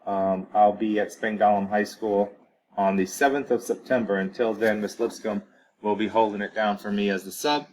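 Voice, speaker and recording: A man's voice: lowish voice, fairly even intonation, moderate pace, darkish tone, low-quality recording. A man in his thirties, a teacher, giving a casual recorded introduction to his class, with many ums and uhs. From grew up on US military bases in Germany.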